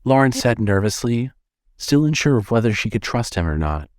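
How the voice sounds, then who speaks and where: A man's voice: mid-range voice, big pitch swings, moderate pace, darkish tone, no room sound, clean studio sound. A man giving scripted narration as the narrator of a fiction podcast.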